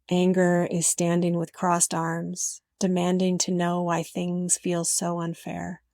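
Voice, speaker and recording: A woman narrating a guided meditation in a gentle, unhurried way with frequent pauses. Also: fairly low pitch, no echo, studio quality.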